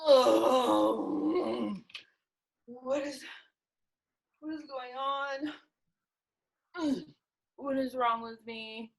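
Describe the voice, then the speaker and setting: A woman playing a hungry, bewildered, foul-mouthed lioness, talking to herself in a halting, exclamatory way in a play reading. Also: on the high side, creaky, fairly bright tone, heavily acted, rough recording. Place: remote, on a video call.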